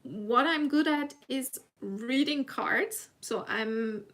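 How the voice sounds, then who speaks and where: A woman's voice: sits high, slow, fairly bright tone. A woman whose first language is German, speaking English with a German accent, hosting a casual live stream and chatting with her viewers. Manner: chatty, self-laughing, reads chat aloud.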